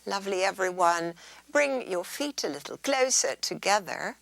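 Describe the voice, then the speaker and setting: A woman teaching yoga in a soothing, unhurried way, guiding relaxation and gentle stretches and drifting into breathy near-whispers. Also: mid-range voice, sing-song, no echo, rough recording.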